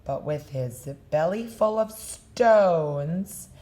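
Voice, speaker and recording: A woman reading a children's story aloud, taking her time over every line. Her voice is mellow and a little low. Her pitch swings widely as she tells it. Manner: slow, expressive storytelling. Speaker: storybook reader.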